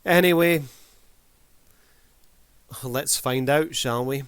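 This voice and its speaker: A man's voice: mid-range voice, takes it slowly. A man hosting a review video, giving casual commentary in a showman-like manner with formal addresses to the audience.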